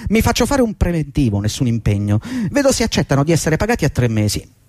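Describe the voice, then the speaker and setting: A man's voice: fairly high pitch, wildly swooping pitch, fast, a little mellow, no room sound, studio quality. An actor playing a middle-aged, servile and agitated long-time employee pleading with his boss in a fretful, rambling radio drama performance. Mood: fawning, hopeful. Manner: fretful, deferential, rambling and insistent. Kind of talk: theatrical radio drama acting.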